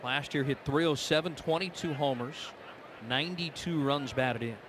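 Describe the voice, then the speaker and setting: An American man calls a ballgame as a radio play-by-play announcer. His voice sits on the high side and climbs excitedly on big plays. Clear outdoor ballpark noise runs behind him. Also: moderate pace. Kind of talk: live baseball radio commentary.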